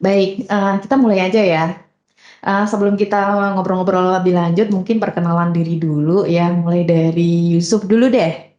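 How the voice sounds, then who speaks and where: A woman's voice: fairly low pitch, fairly even intonation, normal speed, warm tone, echoey room, lo-fi sound. A young woman hosting a podcast interview, chatting casually with lots of fillers. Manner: chatty, many fillers and "gitu ya". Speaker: podcast host.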